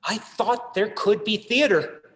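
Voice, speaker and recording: Man as a brooding, higher-voiced disillusioned collector. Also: unhurried, a bit bright. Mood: frustrated. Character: brooding, disillusioned male collector and artist, wry and earnest. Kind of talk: theatrical monologue in a staged reading.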